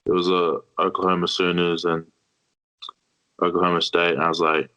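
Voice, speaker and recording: A young man with an Australian accent answers casually as a podcast guest. His voice is low and clear, in a conversational interview style. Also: level tone, moderate pace, bright, forceful tone, low-quality recording. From Brisbane, Australia. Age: young adult. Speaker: podcast guest, college football player.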